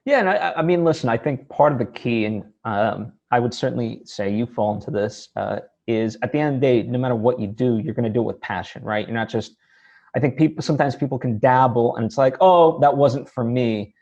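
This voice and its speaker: A man's voice: mid-range voice, brisk, a little mellow. Male interviewer, slightly dull lo-fi sound. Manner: discursive, hedging, checks in with the guest. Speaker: interview host. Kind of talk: casual conversational interviewing.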